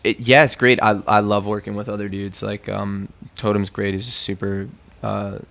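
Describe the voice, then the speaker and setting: Casting: American male musician, casual interviewee, laid-back slangy talk, lowish pitch with heavy vocal fry. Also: flat delivery, normal speed, fairly bright tone. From New York, United States.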